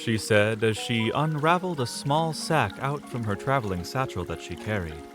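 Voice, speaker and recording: A man's voice: mid-range voice, lively intonation, moderate pace. A man narrating a fantasy audio drama in a scripted, dramatic storytelling style. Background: music under the voice.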